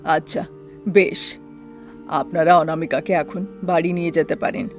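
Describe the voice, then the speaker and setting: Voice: medium pitch, flat delivery, measured pace, soft background music, lightly acted. A voice actor playing a blunt male doctor who firmly lectures a family in a storybook cartoon. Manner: firm, lecturing.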